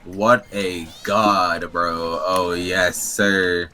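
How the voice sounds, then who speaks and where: Deep-voiced man reacting in slow slang. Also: level tone, pushed and bright. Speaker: listener reacting to a story. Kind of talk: casual slangy interjections.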